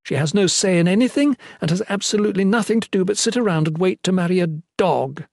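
A man voicing a high-born young woman in an audiobook, bitter, imperious and anguished, speaking with theatrical, sarcastic emphasis. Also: sing-song, moderate pace, a little mellow, dry recording, studio quality. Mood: bitter sarcasm.

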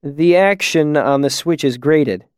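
A man's voice: a little high, clean tone, dry recording, clean studio sound, voice pushed up, close to an everyday voice. A man voicing a young male student inventor in an audiobook, respectful and earnest, eager to explain himself. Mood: defensive explaining. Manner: earnest, quick to explain, deferential. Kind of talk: audiobook character dialogue.

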